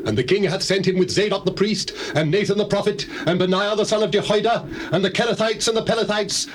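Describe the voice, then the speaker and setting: Bible messenger, earnest and formal, high male voice, faint tape noise. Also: a bit bright. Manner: declamatory, formal. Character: earnest valiant messenger bearing royal news. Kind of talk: dramatized scripture reading in biblical English.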